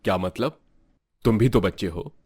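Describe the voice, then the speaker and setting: A man's voice: fairly low pitch, moderate pace, darkish tone, breathy edge, dry recording, clean studio sound. A man playing a kindly, encouraging school principal with reassuring authority in a storybook drama. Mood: questioning. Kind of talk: storybook audio drama acting.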